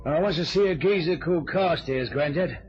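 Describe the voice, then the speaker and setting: An actor playing a rough, touchy tough man, blunt and slangy, in an old radio drama. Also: a little high, brisk, dull audio, loud music behind the voice, lightly acted. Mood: gruff.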